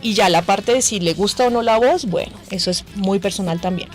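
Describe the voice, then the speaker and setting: A woman with a mid-pitched voice gives practical explanations as an interview guest. She is plain-spoken and conversational, with music playing behind her. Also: fairly quick. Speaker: guest voice coach.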